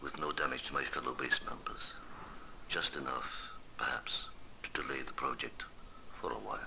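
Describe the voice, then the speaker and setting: An actor playing a weary, uneasy scientist on a Mars base, brooding in a hushed, gravelly voice for a horror game audio diary. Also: male voice, normal speed, heavily acted. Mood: brooding, conspiratorial. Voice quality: very deep, gravelly. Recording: noisy game audio log.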